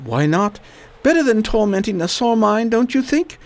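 A woman voicing a weary, Oxford-educated man fighting a plague, speaking low and wry in a radio drama. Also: sing-song, moderate pace, darkish tone. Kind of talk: amateur radio drama acting.

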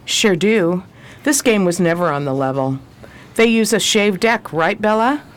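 An actress playing a shrewd, steely adventuress with a sardonic, commanding manner in a 1920s crime radio drama. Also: female voice, mid-range voice, lively intonation, measured pace, lightly acted. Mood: confident.

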